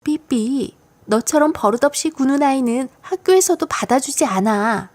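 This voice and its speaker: A woman's voice: high-pitched, animated, moderate pace, a little mellow, no room sound. A woman voicing a patient but exasperated female schoolteacher in a children's storybook, instructive and coaxing. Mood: stern. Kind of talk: children's storybook audiobook acting.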